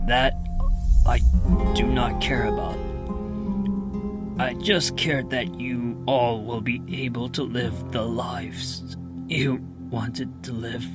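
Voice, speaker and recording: A man playing a feeble dying father in a radio drama, grave and resigned, speaking haltingly between coughs and throat clearing. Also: medium pitch, natural voice. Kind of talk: radio theater acting. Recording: loud music under the voice.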